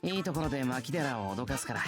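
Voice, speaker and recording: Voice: medium pitch, animated, racing, lightly acted. A voice actor playing an easygoing, loyal teenage boy in a Japanese visual novel, talking in a casual, offhand way over game music. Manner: casual, offhand boyish speech.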